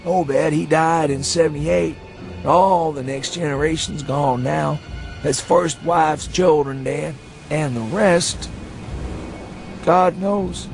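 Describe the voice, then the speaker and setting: A high-pitched old rural New England man, rambling and insistent as he nurses a dreadful secret. He drags his words out in a folksy drawl, full of dread, in a radio horror tale with faint music behind him. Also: slow pace, creaky, poor audio. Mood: maudlin, confiding. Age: older. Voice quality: shrill when agitated. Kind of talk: dramatised horror reading for radio.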